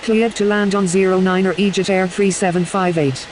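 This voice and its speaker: A woman's voice: mid-range voice, flat delivery, normal speed, darkish tone, a little hiss or hum, close to an everyday voice, low-quality recording. A woman playing a businesslike airline pilot in a flight game with clipped, scripted radio phraseology. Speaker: airline pilot on air traffic control radio.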